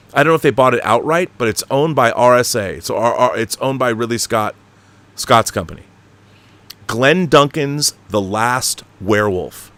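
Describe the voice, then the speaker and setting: A man's voice: mid-range voice, a bit bright, dry recording. A man hosting a live stream, reading out viewer comments and giving his opinions in a casual, rambling, conversational way. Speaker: live stream host answering viewer messages.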